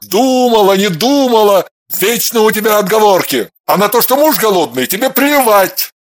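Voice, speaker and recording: A man's voice: high-pitched, animated, normal speed, voice pushed up. A man doing a furious, jealous husband. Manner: shouting, snapping, growling. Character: jealous, hot-tempered middle-aged husband.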